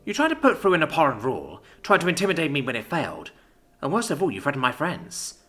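A man's voice: a little high, huge pitch swings, quick pace, fairly bright tone, pitched above the performer's own voice. A man voicing a cool, resolute young woman who is protective of her friends, in an audiobook. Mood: coldly determined. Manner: cold, resolute.

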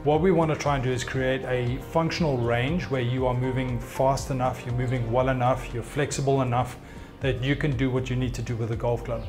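A man's voice: medium pitch, little pitch movement, brisk, hardly any pauses. A man in his thirties, a fitness coach, explaining his work in an interview to camera. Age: 30s. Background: background music.

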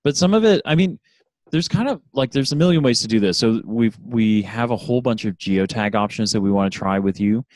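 A man's voice: on the low side, a little mellow, no echo. Eager man, dry close sound. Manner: eager, with lots of quick yeahs and restarts. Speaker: podcast co-host. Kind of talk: casual chat over a video call.

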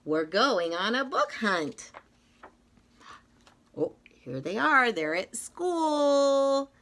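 A middle-aged woman reading a children's picture book aloud like a teacher, in an expressive, rhythmic sing-song manner with drawn-out phrases. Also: a little high. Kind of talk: read-aloud of a children's story. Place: small indoor classroom-style room.

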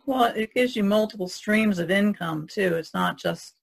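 A woman's voice: mid-range voice, normal speed, creaky, husky. A woman artist talking casually as a podcast panelist. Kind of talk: casual chat.

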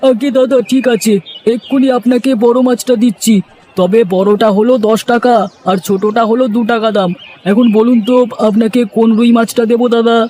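Obliging fish vendor for cartoon comedy, played by a man: very high, soft-edged tone, faint noise behind. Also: steady tone, normal speed. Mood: eager. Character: obliging village fish seller, polite, eager. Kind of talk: Bengali comic cartoon dialogue.

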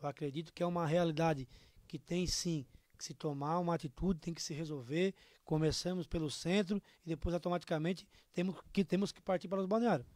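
A man's voice: fairly high pitch, steady tone, a little fast, a little mellow, no echo. A man in his thirties with a southern Brazilian accent, speaking earnestly and colloquially as a candidate in an interview, into a studio microphone. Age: 30s. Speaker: political candidate being interviewed. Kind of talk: interview answers, campaign appeal. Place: small indoor studio. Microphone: microphone on a stand.

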